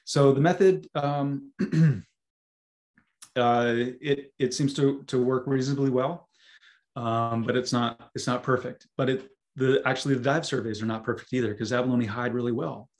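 Hoarse male scientist presenting over a video call; halting, lots of ums, mid pitch. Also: steady tone, a little mellow. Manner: halting, many ums and restarts. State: hoarse, losing his voice. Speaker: guest speaker, scientist. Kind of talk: webinar presentation.

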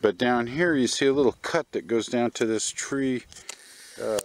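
An older man giving casual, halting, musing commentary while hiking outdoors, recorded on a handheld camera. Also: medium pitch, a little hiss or hum. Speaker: hiker narrating a trail video. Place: outdoors on an open hillside trail.

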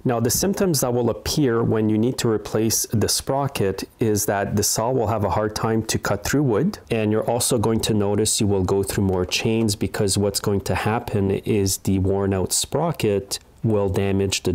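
Low-pitched middle-aged man giving repair instructions. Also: darkish tone. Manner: plain-spoken, step-by-step instruction. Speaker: small engine repair host. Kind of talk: hands-on tutorial narration. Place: indoor repair workshop.

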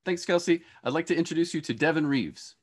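A man's voice: fairly high pitch, fast. A man in his forties hosts an online panel with a mid-range voice. He phrases things hesitantly and repeats words as he introduces speakers. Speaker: host and moderator, professor. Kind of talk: hosting a panel introduction on a video call. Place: indoor room with shelves, video call.

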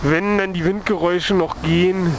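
High-voiced man vlogging from a motorbike. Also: fairly even intonation, normal speed. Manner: rambling, laid-back, heavy with fillers. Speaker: motovlogger. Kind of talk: casual chat while riding. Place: on a motorcycle in city traffic, outdoors. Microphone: helmet-mounted mic, open visor. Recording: wind and traffic noise.

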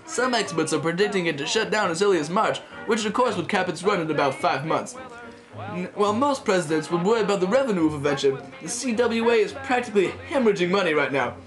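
A man's voice: sits high, animated, quick pace. A teenage man playing a jovial, flippant 1930s radio co-host in a chatty, hammy style. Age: teens. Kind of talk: mock 1930s radio program, scripted. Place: small wood-panelled room indoors. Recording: music playing over the voice.

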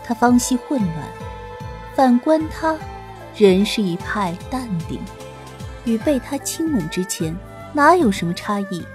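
A woman reads a romance story slowly, with a soft, lilting delivery. Her pitch rises and falls lively, and faint background music plays under her voice. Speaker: audiobook narrator. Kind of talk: scripted romance narration.

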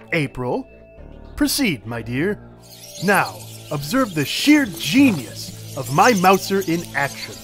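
A man playing a smug, pompous male inventor in an animated comic drama, with a grandiose, showy delivery. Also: on the high side. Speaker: actor in a motion comic. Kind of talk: theatrical animated drama acting.

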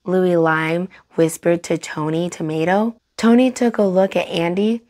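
A young adult woman hosting a children's read-aloud, narrating in an animated, clearly enunciated way. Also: on the low side, a little slow. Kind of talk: expressive storybook narration for children. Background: soft music.

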